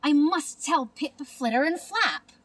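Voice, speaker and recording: Woman voicing an eager young bat girl, high-pitched. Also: slow, full character voice. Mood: excited. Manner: excited, squeaky.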